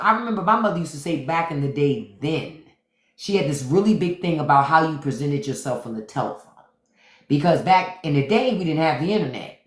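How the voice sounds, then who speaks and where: A woman's voice: low-pitched, roomy sound. A woman hosting a casual livestream chat, emphatic, repeating her points and reading viewer comments aloud. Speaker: podcast host. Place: home room on a livestream.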